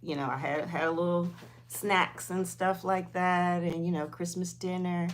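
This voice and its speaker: Woman, fifties, slightly low pitch, very unhurried pace, informal livestream host, chatty replies to viewers. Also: level tone, a little hiss or hum. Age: 50s. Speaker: host of a weight loss livestream. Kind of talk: casual livestream chat, replying to viewers.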